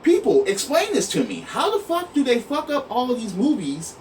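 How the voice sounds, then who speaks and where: A man's voice: very high voice, huge pitch swings, moderate pace. A man giving an exasperated, emphatic, profanity-laced opinion rant to his online viewers. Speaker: online video host. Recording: noisy, distant mic, room sound.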